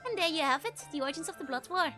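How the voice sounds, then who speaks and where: A woman's voice: high-pitched, lively intonation, rapid-fire, bright, forceful tone, soft background music, voice pushed up. A Dutch-accented woman reading the lines of a weary male former sellsword, with a light dramatic tone. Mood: grim storytelling.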